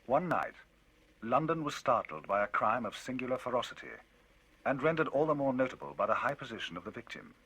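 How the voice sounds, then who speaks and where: A man giving a dramatic, theatrical reading of a classic novel as the narrator of a vinyl audiobook, his pitch and loudness rising and falling widely. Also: husky, rough recording. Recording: vinyl audiobook with music bed. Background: music.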